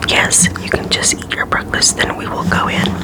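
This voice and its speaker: A whispering reader voicing a fussy, easily distracted mother, in a soft unvoiced whisper. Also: moderate pace, natural voice. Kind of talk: whispered storybook dialogue.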